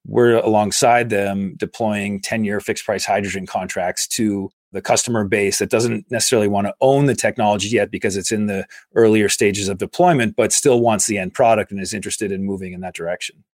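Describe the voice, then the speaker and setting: A man in his forties from Massachusetts, a guest explaining his work in a steady, measured way during an interview. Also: lowish voice, no room sound, studio quality. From Massachusetts, USA. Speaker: guest, infrastructure investor. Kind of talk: conversational interview.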